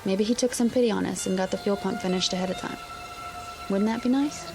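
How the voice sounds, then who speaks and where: A woman's voice: mid-range voice, quick pace, natural voice. An actress playing an affectionate, practical young honeymooning wife in a radio drama, coaxing and reasoning with her husband. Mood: affectionate, cheerful. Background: music under the voice.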